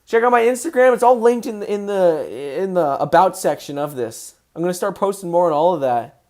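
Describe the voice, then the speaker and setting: A man hosting a casual livestream, excitable and answering viewers' chat questions as he goes. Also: sits high, brisk. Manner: excitable, voice rises when passionate.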